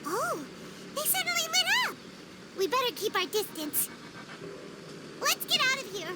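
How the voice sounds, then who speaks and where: A tiny, childlike game sidekick, with an extremely high, squeaky voice that swoops up and down. She is excitable and easily spooked. Loud game ambience sits behind her. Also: unhurried, very noisy, exaggerated voice. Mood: searching. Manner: speaks of herself in third person, exclamatory. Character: small childlike floating companion girl, excitable and easily scared. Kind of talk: video game voice acting.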